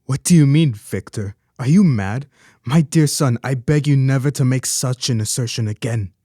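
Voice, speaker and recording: A man's voice: mid-range voice, moderate pace, mellow, no echo, studio quality, natural voice. A young man playing a protective, grieving older father in a radio play, speaking in a formal, paternal manner. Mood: stern, alarmed. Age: young adult. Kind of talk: student radio play acting.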